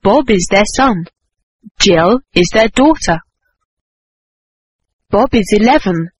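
A woman reading a scripted English lesson slowly and carefully. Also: medium pitch, expressive, husky, dry recording. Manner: slow, careful, deliberate enunciation. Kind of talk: slow scripted language-lesson dialogue and reading.

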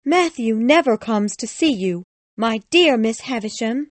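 A woman's voice: a little high, animated, unhurried, no room sound. A woman playing a fawning, self-pitying lady who sounds falsely caring, simpering and plaintive in an acted audiobook.

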